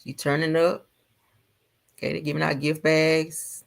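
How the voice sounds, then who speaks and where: A woman with a slightly low voice hosts a casual gossip stream. She comments as she watches, sassy and colloquial, stretching out little asides. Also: little pitch movement, measured pace, gravelly. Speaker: gossip livestream host.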